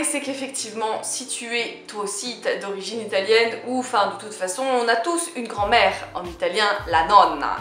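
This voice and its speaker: A chatty, playful young woman speaks straight to her viewers in a high, bright voice. She keeps it casual and lively, and the sound rings in an echoey room. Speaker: vlogger. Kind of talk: casual talk to camera.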